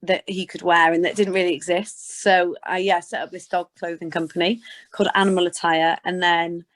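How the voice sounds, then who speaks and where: A woman's voice: lowish voice, level tone, fairly quick. Chatty British woman in casual interview. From Scotland and Gloucestershire, England. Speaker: interview guest, sports presenter.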